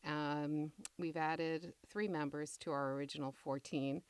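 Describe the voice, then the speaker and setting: Middle-aged woman playwright in interview: deep, smooth voice, measured and reflective, dry close sound. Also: steady tone, no echo.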